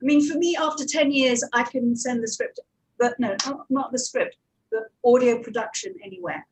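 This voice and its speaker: A woman's voice: high voice, moderate pace, slight background noise, poor audio. A woman talking informally as a panelist and producer in a discussion. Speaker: panelist, audio drama producer and coach.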